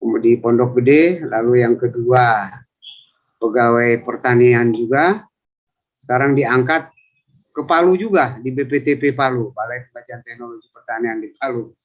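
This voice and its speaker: A man's voice: mid-range voice, normal speed. A man in his fifties, a civil service trainer, chatting and rambling through his self-introduction in an online coaching session. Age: 50s. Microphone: video call. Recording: lo-fi video call audio.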